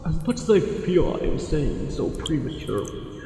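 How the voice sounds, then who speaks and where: A man's voice: fairly high pitch, big pitch swings, normal speed, warm tone, slight background noise. An actor playing a smitten angler merman in an ASMR roleplay, speaking formally and hesitantly with ornate, tender courtesy. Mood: smitten, pleading. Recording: cavernous echo with long reverb, music behind. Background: music.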